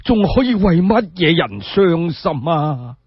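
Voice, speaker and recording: An aged, solemn sage sunk in grief for a radio story. His lament is drawn out and husky. The recording is dry and somewhat muffled. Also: a little high, big pitch swings, slow pace, no room sound, exaggerated voice. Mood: mournful. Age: older. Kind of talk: Cantonese radio storytelling drama.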